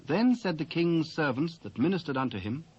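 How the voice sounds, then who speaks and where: A British man narrating scripture in a measured, solemn storytelling manner. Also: a little high, big pitch swings, darkish tone, breathy edge. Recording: dull old cassette transfer.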